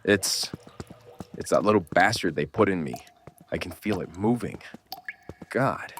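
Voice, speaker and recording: A man playing a gruff, world-weary survivor who takes charge in an audio horror drama. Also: deep voice, lively intonation, a little slow, a bit bright, a little hiss or hum. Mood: pained, panicked.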